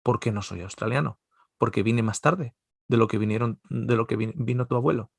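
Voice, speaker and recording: Middle-aged Spanish-accented man, seminar speaker, gravelly voice, dry close sound, lively and rambling. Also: medium pitch, brisk, no room sound. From Spain, Basque Country. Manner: animated, digressive, many restarts and stammers. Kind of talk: religious seminar talk.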